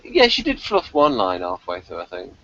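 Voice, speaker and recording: A man's voice: sits low, huge pitch swings, normal speed, creaky, a bit bright, dull audio. A man chatting casually as he co-hosts a review, with a wry, nitpicking, hesitant manner. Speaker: podcast co-host reviewing a TV episode.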